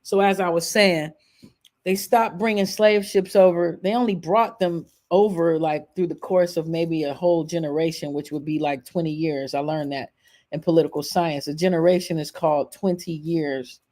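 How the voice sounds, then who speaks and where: A mid-pitched American voice hosts a casual live stream. The delivery is conversational and opinionated, with emphatic points and blunt profanity, like someone explaining history off the cuff. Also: steady tone, moderate pace, a little mellow. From United States. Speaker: live stream show host.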